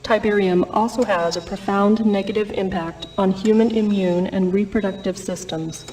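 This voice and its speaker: A woman's voice: mid-range voice, fairly even intonation, moderate pace, a little mellow, close to an everyday voice. Woman as distant, clinical military briefing announcer. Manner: formal, clinical, detached. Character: impersonal female military research briefing announcer. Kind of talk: scripted briefing narration in a video game. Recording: distant mic, slight background noise.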